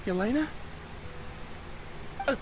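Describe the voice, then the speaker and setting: A man's voice: slow pace, background noise, close to an everyday voice. An actor playing a guilt-ridden, grieving man in a dramatic video game scene. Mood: anguished, regretful. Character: grief-stricken, guilt-ridden man pleading for a lost loved one.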